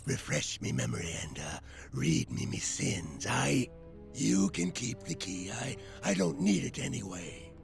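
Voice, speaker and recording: An actor voicing a forgetful, guilt-ridden prisoner of a pirate in a video game, theatrical and rambling. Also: male voice, low voice, sing-song, measured pace, gravelly, faint music behind, full character voice. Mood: conspiratorial. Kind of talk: video game character acting.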